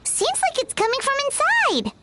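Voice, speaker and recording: A woman voicing a tiny floating female companion creature in a game, with a high, chirpy, excitable, childlike way of talking. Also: normal speed, full character voice. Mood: curious. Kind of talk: game voice acting. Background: game music.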